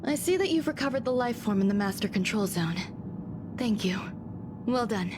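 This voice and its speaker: An actress playing a reserved, polite young woman scientist, speaking in a measured, formal way in scripted game dialogue. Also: fairly high pitch, expressive, background noise, lightly acted. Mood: calm, instructing. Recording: game music behind the voice.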